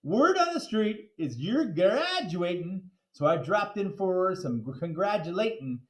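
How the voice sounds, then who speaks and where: A man's voice: high voice, very animated, unhurried. A man voicing a flashy, boastful rapper showman from a children's storybook, upbeat and playfully preachy, rhyming in slang. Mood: playful rapping. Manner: rhyming, rapping delivery with slang. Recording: roomy, echoey sound.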